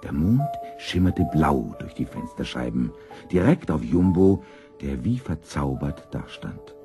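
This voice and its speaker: A man narrating a scripted children's story as an expressive storyteller. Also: a bass voice, a little slow, over music.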